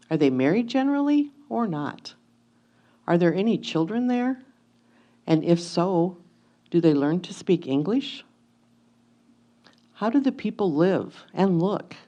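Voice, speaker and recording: Female actor as anxious, inquisitive pioneer wife, warm dark tone, deliberate letter reading for reader's theatre. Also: fairly low pitch, heavily acted. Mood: eagerly curious. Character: anxious, curious 1850s wife writing to her absent husband.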